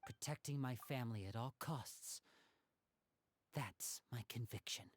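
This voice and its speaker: A man's voice: mid-range voice, a little slow, dry recording, natural voice. An actor playing a determined young man vowing to protect his family, earnest and resolute. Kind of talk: video game voice acting.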